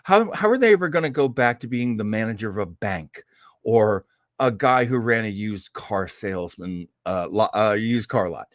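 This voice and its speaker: A man hosting casually, rambling like an animated storyteller with wry asides as he introduces vintage radio shows. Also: lowish voice, normal speed, no room sound, clean studio sound. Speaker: host of an old-time radio show program.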